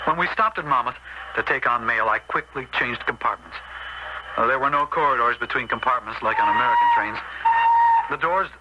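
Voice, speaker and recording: A man's voice: medium pitch, wildly swooping pitch, a little fast, muffled recording. An actor playing an upright, preachy man troubled by his crooked brother, in 1970s radio drama style. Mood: grave recounting. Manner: earnest, moralizing. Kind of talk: 1970s radio drama acting with first-person narration. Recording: noisy old 1970s radio broadcast.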